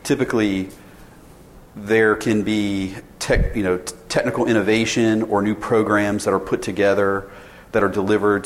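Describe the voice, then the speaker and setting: A man in his forties gives a casual conference presentation to an audience, polling them with questions and restarting his sentences often. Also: on the low side. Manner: informal, polls the audience, many restarts. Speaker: sales director presenting at a conference. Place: conference session room. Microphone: tethered mic. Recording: reverberant hall, faint ambience.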